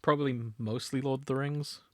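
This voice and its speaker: Man, podcast host, mid-pitched voice, casual comedic banter, close dry sound. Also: flat delivery, a little fast, a bit bright, dry recording.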